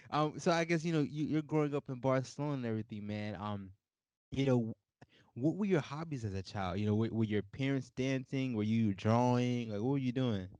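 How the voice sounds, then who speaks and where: A man's voice: medium pitch, moderate pace, a little mellow, no echo, lo-fi sound. A man hosting a podcast interview in a casual, upbeat, informal way.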